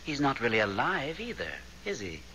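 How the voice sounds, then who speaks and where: An actor playing a genial, evasive ghost with a measured, coaxing, theatrical manner in a 1970s radio drama. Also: male voice, mid-range voice, wildly swooping pitch. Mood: dry. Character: ghost man, genial, evasive, gently persuasive go-between. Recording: noisy old radio broadcast recording.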